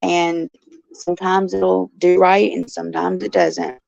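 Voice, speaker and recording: A woman with a low, heavily gravelly and raspy voice chats folksily with her viewers. She calls them by name as she talks. The recording is dull, lo-fi and dry. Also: level tone, measured pace, darkish tone, no echo. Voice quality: raspy, gruff. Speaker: show host. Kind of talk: casual chat, answering viewers. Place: indoors in a care facility.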